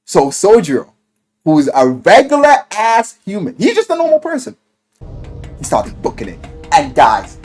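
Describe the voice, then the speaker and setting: A man casually retelling a video game story in an animated, rambling, slangy way, with plenty of swearing. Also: high voice, normal speed, faint music behind. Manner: animated, exaggerated, swearing, acts out quotes in mock voices. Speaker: game reviewer.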